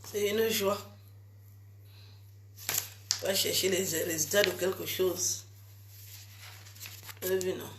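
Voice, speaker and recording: A woman's voice: on the low side, fairly even intonation, slow, long pauses, reverberant, slight background noise. A woman vlogging casually to her fans, chatty and teasing, scolding her viewers with a cold. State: has a cold.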